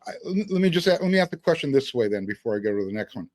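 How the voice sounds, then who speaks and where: Higher-pitched man hosting lively sports talk. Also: racing, no echo. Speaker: sports show host. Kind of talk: conversational sports interview.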